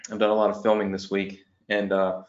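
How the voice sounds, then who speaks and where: A man's voice: lowish voice, completely flat delivery, brisk, muffled recording. A man who is losing his voice, teaching an online business lesson at a whiteboard and checking in with "okay?" and "right?" after each point. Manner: tag questions after each point, explaining step by step.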